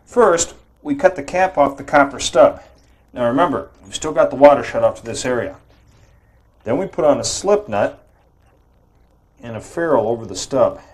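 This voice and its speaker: Man calmly explaining plumbing steps. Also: medium pitch, moderate pace, long pauses. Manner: plain, steady explaining while demonstrating. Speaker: home improvement host. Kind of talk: step-by-step how-to instruction.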